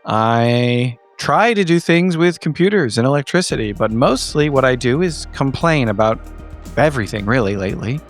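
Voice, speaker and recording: A man's voice: medium pitch, lively intonation, normal speed. A man recapping a tabletop game in a casual, comedic way, with a droll, wordy delivery. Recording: music under the voice.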